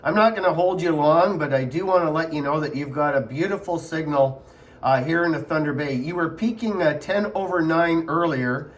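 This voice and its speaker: Man with a slightly high voice. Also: normal speed. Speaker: amateur radio operator. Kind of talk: casual ham radio contact.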